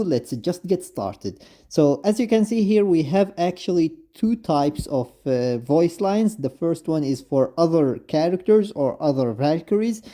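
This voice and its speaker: Very dark, mellow-voiced halting video commentator. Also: mid-range voice. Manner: halting, repetitive, often says basically and actually. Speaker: video host, game commentator. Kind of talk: informal explanatory commentary on game voice lines.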